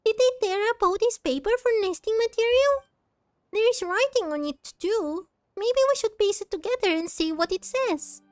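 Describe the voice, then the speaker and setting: Woman doing a small female fairy sidekick, high-pitched, excitable, nagging, curious, video game character. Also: normal speed, no echo.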